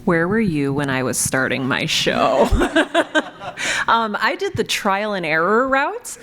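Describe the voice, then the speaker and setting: An animated woman who makes podcasts, chatting casually to a live panel audience, self-deprecating and quick to laugh. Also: mid-range voice, noisy background. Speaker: podcast creator and panel moderator.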